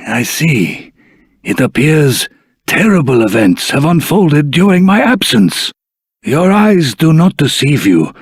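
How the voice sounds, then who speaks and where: Formal old dragon clan elder in fantasy game, really raspy, voice swings up and down. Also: male voice, fairly high pitch, no echo, clean studio sound, heavily acted. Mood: grave. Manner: courteous, formal, earnest, deliberate. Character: dignified elder of a dragon race in human form. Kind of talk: theatrical fantasy video game voice acting.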